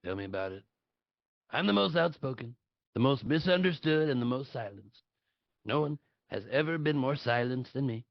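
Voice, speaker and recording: Casting: male actor as brash, bragging older politician for stage satire, gravelly, close and dry sound. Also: medium pitch, lively intonation, normal speed, dry recording. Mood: defiant, boastful. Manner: boastful, blunt, repeats superlatives. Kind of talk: live table read of a satirical play. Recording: dull lo-fi audio.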